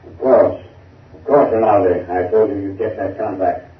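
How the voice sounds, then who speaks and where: Low, echoing actor as a corrupt senator. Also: male voice, moderate pace, recorded from a distance, natural voice. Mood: sly, greedy. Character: smooth, corrupt male senator, self-satisfied. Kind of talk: 1930s radio acting. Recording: tinny, echoey film soundtrack from afar.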